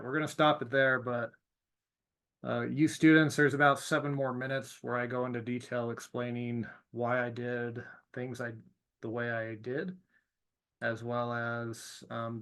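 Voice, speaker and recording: A man's voice: medium pitch, sharp tone. Casual, self-deprecating male instructor. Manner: informal, self-deprecating, often checks in with "okay?". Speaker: trainer teaching students about cold calls. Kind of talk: casual video lesson with commentary.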